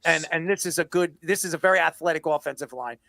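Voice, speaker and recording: A man's voice: on the high side, quick pace, vocal fry, pushed and bright, dry recording. A man hosting a sports talk show, debating emphatically and often repeating himself for emphasis.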